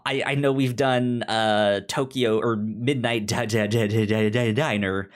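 A man co-hosting a podcast in casual chat, animated, with halting, repetitive phrasing. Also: lowish voice. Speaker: podcast co-host.

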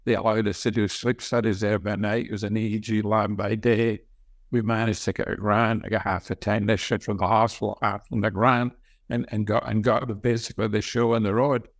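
Older Scottish man, low-pitched and halting. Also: gravelly, no echo, studio quality. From Scotland. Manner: halting, repeats words as he talks. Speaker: interview guest, senior medical professor and researcher. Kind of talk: conversational interview answers, reminiscing.

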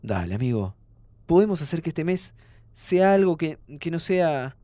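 Upbeat, ailing young Argentine guy for audio fiction: slangy, reassuring, highish lively voice. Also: normal speed, no room sound. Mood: soothing, hopeful. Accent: Argentine Rioplatense. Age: young adult. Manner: casual, slangy, easygoing. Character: terminally ill young man, upbeat, calm, reassuring friend. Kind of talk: naturalistic audio fiction acting.

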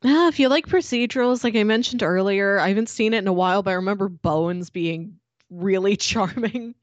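A woman talks as a podcast co-host in a casual review style. Her mid-pitched voice sounds dry, with no room echo around it. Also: brisk, lo-fi sound.

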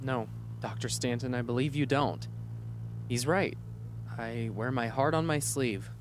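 An actor playing a soft-hearted doctor troubled by ethics in an audio drama, earnest, hesitant and polite. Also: male voice, medium pitch, unhurried, close to an everyday voice. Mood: firm, principled. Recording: faint noise and hum.

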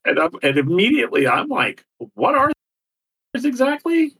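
A man in his forties co-hosting a podcast, chatting casually in an animated, theatrical way and laughing often. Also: extremely high pitch, normal speed, breathy edge, recorded from a distance. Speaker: podcast co-host. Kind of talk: casual chat.

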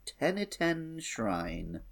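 A man's voice: fairly high pitch, big pitch swings, extremely slow, creaky. A man co-hosting a podcast, chatting casually about a video game. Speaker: podcast co-host.

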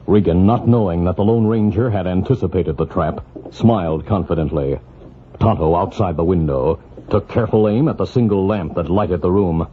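A man as the formal, dramatic narrator of a 1940s radio western adventure, telling it with crisp urgency. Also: sits low, normal speed, slight background noise, lightly acted. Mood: suspenseful. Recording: muffled old radio recording with music.

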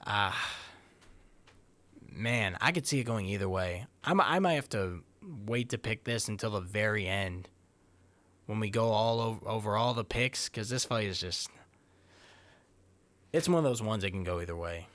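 A man's voice: lowish voice, lively intonation, moderate pace, pauses often, fairly bright tone. A man co-hosting a sports podcast, thinking aloud through a fight breakdown in a hesitant, restarting way. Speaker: podcast co-host, fight analyst.

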